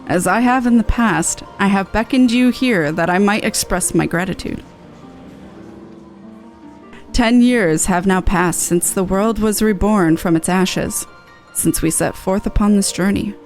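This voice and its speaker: Solemn, mysterious dream figure acted by a woman, pitched down. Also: normal speed, lightly acted. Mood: solemn, wistful. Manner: formal, solemn. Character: solemn, mysterious man addressing an adventurer in a dream. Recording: music in the background.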